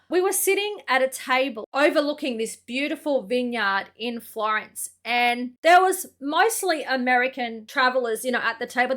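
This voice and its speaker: Bright high-voiced Australian woman. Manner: chatty, says "mate" often, laughs easily. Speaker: reaction video host. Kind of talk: casual reaction commentary.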